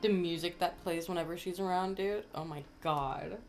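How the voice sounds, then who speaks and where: Female game streamer, lowish voice, casual reactions, clear game music behind. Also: steady tone, normal speed.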